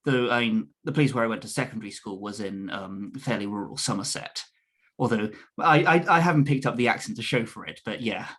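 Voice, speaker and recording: A young man from the south of England, co-hosting a political chat in a measured, thoughtful way, often correcting himself mid-sentence. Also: fairly low pitch, fairly bright tone, slightly rough. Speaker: podcast co-host. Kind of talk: casual political discussion.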